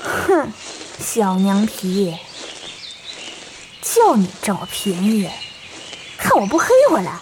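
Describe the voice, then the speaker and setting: A woman's voice: medium pitch, big pitch swings, takes it slowly, pauses often, noisy background. A woman playing a cocky but easily frightened young thief in a wuxia audio drama, with a theatrical, protesting delivery. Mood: cocky, grumbling.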